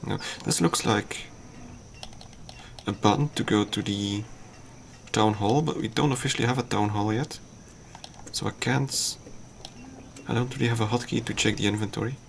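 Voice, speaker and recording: Dutch-speaking man, low gravelly voice. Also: slight background noise. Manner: halting, self-deprecating, thinks aloud with fillers. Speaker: streamer. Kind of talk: casual game commentary.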